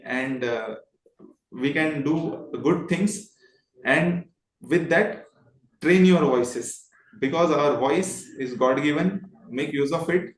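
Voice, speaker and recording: Echoey, higher-pitched Indian-accented man. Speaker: voice trainer, interview guest. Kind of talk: earnest motivational talk in a discussion. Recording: roomy, echoing sound.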